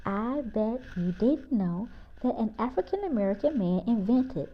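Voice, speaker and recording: A woman's voice: animated, measured pace. A woman voicing a keen, know-it-all schoolboy in a children's story read-aloud. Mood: boastful, keen. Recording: muffled, low-fidelity audio.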